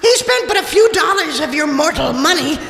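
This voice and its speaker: Ghostly spirit guide: very high, unhurried, solemn, creaky, drenched in reverb. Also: male voice, expressive, pushed and bright, full character voice. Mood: dismissive, teasing. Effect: long reverb. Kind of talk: audio drama acting. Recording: heavy echoing reverb.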